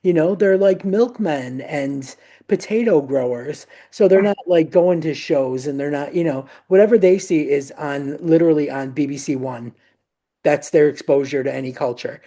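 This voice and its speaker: A man's voice: a little high, normal speed, dark tone. A man co-hosting a podcast, chatting casually and agreeably with his guest. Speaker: podcast co-host. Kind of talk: casual interview chat.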